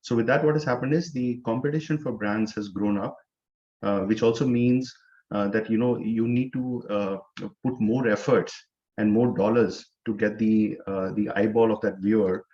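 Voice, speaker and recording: A lower-pitched man talks through his business in a measured, explanatory way. He leans on "you know" fillers as he goes. The audio is dull and lo-fi, like a video call. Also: fairly even intonation, warm tone. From India. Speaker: startup co-founder guest. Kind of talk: business interview answers.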